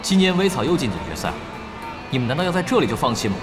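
An actor playing a proud, driven young esports captain in an audio drama, expressive and dryly sardonic. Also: male voice, mid-range voice, racing, natural voice. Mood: rousing, determined. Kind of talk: Mandarin audio drama acting. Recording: dramatic music bed behind the voice. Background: prominent background music.

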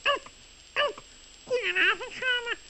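An actor voicing a cheeky, naive little animal in a children's radio play, squeaky and clipped. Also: measured pace, bright, forceful tone, slight background noise, heavily acted. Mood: innocently cheeky. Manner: clipped, punctuated with a repeated little tic sound. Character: Joris, cheeky naive hungry little creature. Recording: dull old radio recording.

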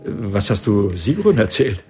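An actor playing a proud, defensive older patriarch in a radio drama, indignant and stubborn. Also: male voice, lowish voice, sing-song, unhurried, mellow, slightly rough, faint music behind, full character voice. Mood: startled, questioning.

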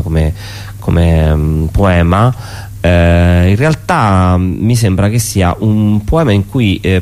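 A man talks as an interview guest. He explains things off the cuff, reflectively, pausing and rephrasing as he goes. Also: low voice, a little mellow. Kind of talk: unscripted explanatory interview talk.

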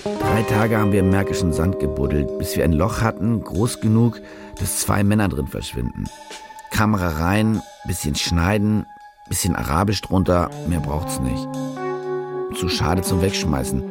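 A man's voice: sits low, expressive, normal speed, vocal fry, loud music behind the voice. An actor playing a world-weary male reporter, narrating his story wryly and colloquially in a radio drama. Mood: wry, cynical. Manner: wry, colloquial. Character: cynical, world-weary fake war reporter telling his own story.

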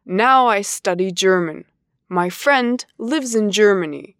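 A woman reading scripted English course dialogues in a deliberate, carefully enunciated way. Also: medium pitch, clean tone, no room sound, studio quality. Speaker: language course voice actor.

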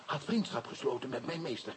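A man playing a frightened, naive Pygmy mission convert in a radio play, speaking halting, stammering, broken Dutch with inverted word order. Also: mid-range voice, lively intonation, a little hiss or hum, natural voice. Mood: earnest, confiding.

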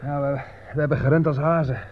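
Rattled soldier male actor, excitable, stumbling over words, high voice, muffled war radio drama. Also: quick pace, slight background noise, close to an everyday voice. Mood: shaken. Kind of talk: Dutch war radio play acting. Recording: muffled old radio recording.

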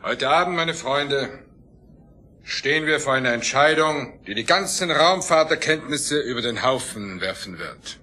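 An actor playing a grandiose, obsessive middle-aged scientist and starship commander in an audio drama, speaking in a lofty, theatrical, self-assured manner. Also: male voice, mid-range voice, sharp tone, lightly acted. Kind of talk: dramatic audio play acting. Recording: music behind the voice.

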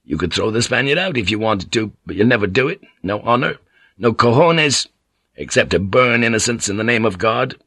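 A man's voice: fairly low pitch, expressive, moderate pace, pushed and bright, breathy edge, no room sound, studio quality, heavily acted. A man playing a defiant, taunting ship's pilot, blunt and scornful, in audiobook dialogue. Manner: blunt, provoking.

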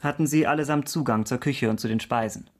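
An actor playing a courteous, persistent investigator in a period radio crime drama, asking clipped, formal questions. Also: male voice, medium pitch, a little fast, natural voice. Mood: probing, formal. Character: formal, persistent male investigator in 17th-century Paris. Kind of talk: German radio drama acting.

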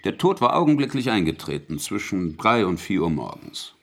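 A sly, menacing investigator in a radio drama. His voice is low and husky, and it rises and dips widely as he probes with mock courtesy and theatrical deliberation. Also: big pitch swings, normal speed, full character voice. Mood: coolly methodical. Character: sly, polite male investigator, probing and menacing. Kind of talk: German radio drama acting.

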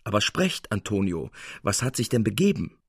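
A man's voice: fairly low pitch, sing-song, normal speed, vocal fry, breathy edge, dry recording, studio quality, heavily acted. A man voicing a confident, amused, scheming painter in a radio story, speaking eloquently.

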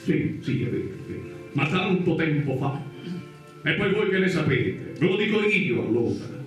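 A greedy, sanctimonious priest in satirical radio theatre. His voice is fairly high for a man, pompous and preachy, sliding into chant and exclamation. He rings out from some distance in a very reverberant space, with faint music behind. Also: big pitch swings, normal speed. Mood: indignant, scornful.

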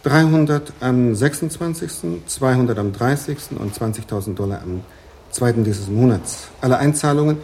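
An actor playing an obliging, courteous older bank manager in a radio play, speaking politely and precisely. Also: male voice, mid-range voice, moderate pace, creaky, darkish tone, a little hiss or hum, lightly acted. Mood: precise, reading out.

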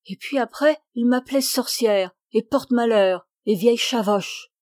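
Woman putting on a loud old peasant servant. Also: fairly high pitch, moderate pace, no echo, studio quality, lightly acted. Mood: garrulous.

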